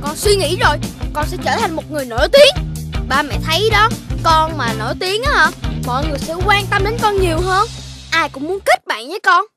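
Squeaky little boy puppy. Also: expressive, brisk. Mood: chatty. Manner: whiny and pleading when in trouble. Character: young boy puppy, cheeky and playful. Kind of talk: cartoon voice acting. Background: background music.

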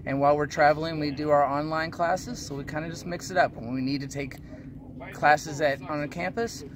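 A man in his thirties speaks in a casual, explanatory way. His voice sits a little high for a man, and faint outdoor noise runs behind it. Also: steady tone, a little fast. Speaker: guest, van-life traveler and student. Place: outdoors beside a parked van.

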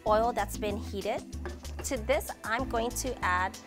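An upbeat woman in her thirties walks viewers through a recipe in her kitchen, her voice sitting a little high. She sounds chatty and encouraging. Music plays clearly underneath. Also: slow. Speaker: cooking show host and restaurant owner. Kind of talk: cooking demonstration. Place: home kitchen, indoors. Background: background music and sizzling pan.

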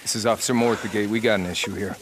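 An actor playing an officious gate security guard in a video game, speaking in a curt, bossy way. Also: male voice, fairly low pitch, steady tone, rapid-fire, noisy background, lightly acted. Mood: hostile.

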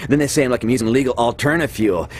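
An actor playing a paranoid young mutant racer in a video game, excitable, slangy and defensive. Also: male voice, mid-range voice, fast, slight background noise, lightly acted. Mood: defensive, cocky.

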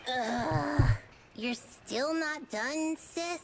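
An actress playing a gruff, impatient younger sister in a game trailer, exasperated and grumbling but secretly devoted. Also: female voice, high-pitched, drawn-out words, a little hiss or hum, heavily acted, low-quality recording. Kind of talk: video game trailer acting. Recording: music over the voice. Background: trailer music.

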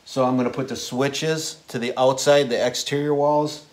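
A man in his forties giving a casual how-to explanation to camera in a plain, unhurried, halting way. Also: mid-range voice, steady tone. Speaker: DIY video host. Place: inside a small unfinished wooden cabin with a steel ceiling.

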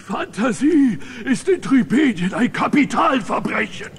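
A man's voice: very high-pitched, sing-song, unhurried, a little hiss or hum, exaggerated voice. An actor playing a pompous, blustering male judge in a dubbed cartoon radio play. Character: pompous shouting male judge of a cold tyranny.

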